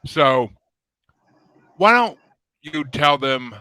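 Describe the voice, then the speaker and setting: Bright-voiced easygoing podcast host man. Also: a little high, animated, very slow, clear, resonant tone, no room sound. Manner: easygoing, chatty. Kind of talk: live podcast hosting.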